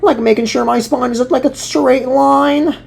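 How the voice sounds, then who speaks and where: A man's voice: extremely high pitch, fairly even intonation, unhurried, gravelly, breathy edge, full character voice. A man voicing a lanky, goofy, anxious young cartoon slacker with a whiny, cracking voice and filler-heavy slang. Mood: confused, whiny. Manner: whiny, peppers sentences with "like".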